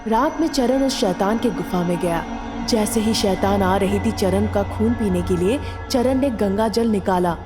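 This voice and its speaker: A woman's voice: mid-range voice, a little slow. A woman storyteller reading scripted narration of a tale. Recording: loud music behind voice.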